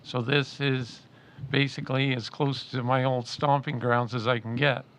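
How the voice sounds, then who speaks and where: A man's voice: medium pitch, level tone, moderate pace, fairly bright tone, a little hiss or hum. A man in his sixties, introducing himself as a local election candidate in a plain, conversational way with some hesitations. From Canada. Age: 60s. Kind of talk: unscripted self-introduction.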